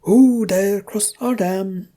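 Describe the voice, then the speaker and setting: A woman voicing a pompous, bossy troll leader in a fantasy story, with loud, commanding, drawn-out questioning. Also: medium pitch, pitched above the performer's own voice, natural voice. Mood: menacing.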